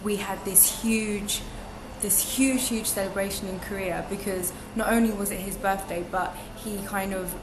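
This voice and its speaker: A woman's voice: mid-range voice, fairly even intonation, normal speed, few pauses, background noise. A young woman talking as a podcast guest, reflective and chatty, with many ums and restarts. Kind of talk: casual interview chat. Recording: echoey room with music behind.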